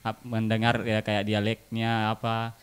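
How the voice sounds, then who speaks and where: A man's voice: on the low side, monotone, measured pace, smooth. A man with a Bugis-Makassar Indonesian accent, speaking casually as a guest in an interview. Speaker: podcast guest.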